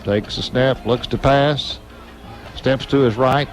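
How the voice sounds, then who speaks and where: A man calling high school football play-by-play for radio, in a brisk, steady voice. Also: faint music behind.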